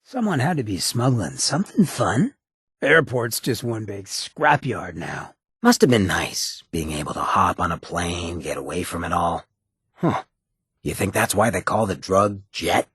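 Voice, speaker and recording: Cocky ghoul rogue, video game character, American accent, heavily rasped, lowish pitch, streetwise drawl. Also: male voice, big pitch swings, unhurried, fairly bright tone, no room sound, studio quality, heavily acted. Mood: wry, musing. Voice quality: raspy, gravelly. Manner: cocky, streetwise drawl with clipped slangy phrasing. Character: roguish, swaggering ghoul leader, irradiated zombie-like man.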